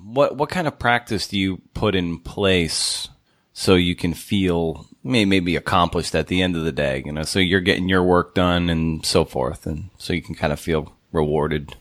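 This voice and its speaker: A man's voice: low-pitched, normal speed, fairly bright tone, no room sound, clean studio sound. A man interviewing a writer, rephrasing his questions as he goes.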